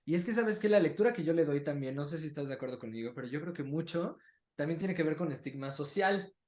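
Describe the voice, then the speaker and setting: Animated Mexican man chatting in echoey room. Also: a little high, fast talker. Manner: animated, mimics what people say in quotes. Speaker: podcast host and interviewer. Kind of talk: casual chat interview.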